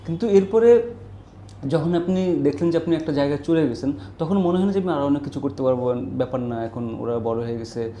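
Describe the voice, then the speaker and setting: Very low-voiced Bangladeshi woman interviewer. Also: animated, a little fast, warm tone, reverberant, a little hiss or hum. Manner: mixes in English words, prompting. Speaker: interviewer, host. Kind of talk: conversational interviewing.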